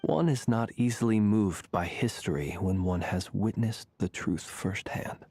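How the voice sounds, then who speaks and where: An actor playing a solemn, courteous, centuries-old gentleman in a video game, speaking husky, measured, formal lines. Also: lowish voice, no room sound. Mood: wistful. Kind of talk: video game character acting.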